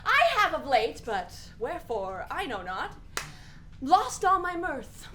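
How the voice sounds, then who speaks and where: A woman's voice: high-pitched, sing-song, takes it slowly, fairly bright tone, a little hiss or hum, exaggerated voice. A woman playing a restless young prince who feigns madness, in exaggerated, theatrical Shakespearean radio drama style. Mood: melancholy, brooding. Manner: exaggerated, punctuated with giggling.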